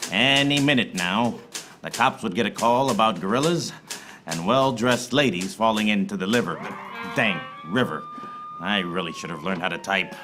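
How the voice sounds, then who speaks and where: An actor playing a wry, bumbling private eye in a noir comedy radio serial, deadpan and given to tongue-twisting alliteration. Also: male voice, lowish voice, normal speed, lightly acted. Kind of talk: film noir comedy radio serial acting, narrating and in dialogue. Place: theater stage, performed live. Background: music.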